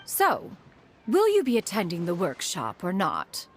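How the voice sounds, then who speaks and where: A lofty, archaic-speaking immortal woman, proud of her inventions and curt with others. Her voice swings widely in pitch, with faint music behind. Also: huge pitch swings, a little slow, heavily acted. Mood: brisk. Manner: archaic and formal, calls herself "one", haughty. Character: aloof ancient female immortal inventor, proud and formal. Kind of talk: game dialogue. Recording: soft game music behind.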